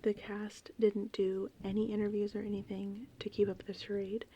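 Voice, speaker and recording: A woman rambling casually and informally in an ASMR style, with a soft, breathy voice that often slips into a whisper. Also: mid-range voice, moderate pace, lo-fi sound. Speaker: ASMR host. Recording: steady rain and thunder ambience. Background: gentle rain and thunderstorm sounds.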